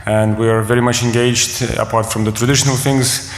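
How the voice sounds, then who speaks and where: A man's voice: mid-range voice, little pitch movement, a little fast, creaky. A man with a Lithuanian accent hesitantly introducing himself as a panelist. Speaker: lawyer, panelist. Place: conference room. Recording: reverberant hall, faint noise.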